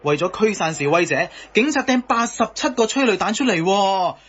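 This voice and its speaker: A man's voice: high-pitched, lively intonation, normal speed, a bit bright, breathy edge, muffled recording, exaggerated voice. An actor playing an outspoken, cheeky young man in a satirical radio drama, arguing colloquially with a Hong Kong Cantonese accent. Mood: sarcastic. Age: young adult. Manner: colloquial, argumentative.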